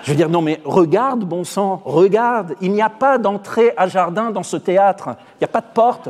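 Husky, mellow man in a reverberant hall. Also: high voice. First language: Arabic. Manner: measured, eloquent, deliberate phrasing. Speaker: theatre director. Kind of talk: formal spoken essay on theatre.

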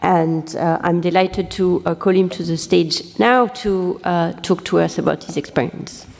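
A woman introducing a guest speaker at a seminar, halting and full of fillers. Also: fairly low pitch, fairly even intonation, creaky, a little mellow, slight background noise. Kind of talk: introduction speech. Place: large lecture hall. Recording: reverberant lecture hall.

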